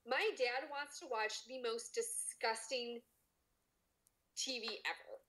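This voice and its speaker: A woman's voice: on the high side, slow, bright, forceful tone, low-quality recording. A woman co-hosting a podcast in casual chat, playful and bantering. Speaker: podcast co-host.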